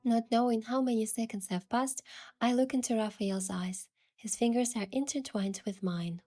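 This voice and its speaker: A young woman gently narrating a romantic story in the first person as its heroine, in a soft, hushed voice. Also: on the high side, moderate pace, faint music behind.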